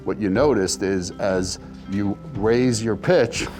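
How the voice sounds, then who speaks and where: A man who is a larynx surgeon, explaining calmly and reassuringly to a patient. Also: lowish voice, takes it slowly. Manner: calm, reassuring, instructive. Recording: soft guitar music underneath.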